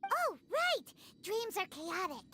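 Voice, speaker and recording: Squeaky, tiny fairy sidekick. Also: female voice, huge pitch swings, slow, sharp tone, a little hiss or hum, full character voice. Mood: eager. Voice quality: squeaky, childlike. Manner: third-person self-reference, exclamatory. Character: tiny childlike fairy companion, excitable, easily confused. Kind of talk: anime-style video game dubbing.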